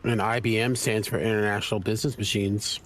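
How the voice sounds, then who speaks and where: A man's voice: on the low side, little pitch movement, a little fast. A man chatting casually with a streamer, teasing him and giving tips about the game. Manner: teasing, offers gameplay tips. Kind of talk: casual chat.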